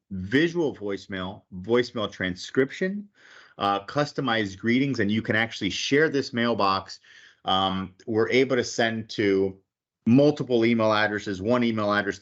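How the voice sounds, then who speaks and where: A man's voice: on the low side, a bit bright. Man in a lo-fi webinar walkthrough. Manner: casual, frequent "okay" and "um" fillers. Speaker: product presenter. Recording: dull lo-fi webinar audio.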